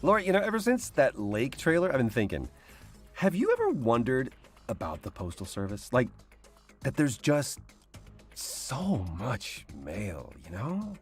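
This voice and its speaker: A man's voice: mid-range voice, huge pitch swings, unhurried, breathy edge, soft background music. A man co-hosting a showcase in scripted comic banter. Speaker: showcase co-host.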